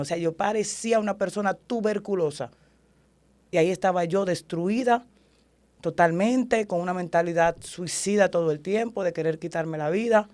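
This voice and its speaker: A woman's voice: fairly low pitch, a little mellow. Earnest Dominican woman giving testimony. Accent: Dominican Spanish. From Dominican Republic. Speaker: guest, prophet and ordained minister. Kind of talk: testimony in an interview.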